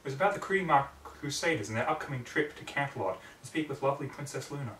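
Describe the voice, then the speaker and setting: A man's voice: mid-range voice, lively intonation, fast, fairly bright tone, slight background noise. A man narrating a story in a brooding, theatrical way, often in clipped fragments. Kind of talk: dramatic story reading. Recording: roomy echo, distant microphone.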